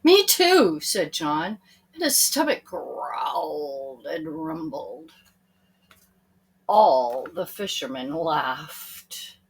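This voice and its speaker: A woman's voice: sits low, very animated, very slow, slightly rough. A woman reading a children's story aloud expressively, speaking to her young listeners in asides.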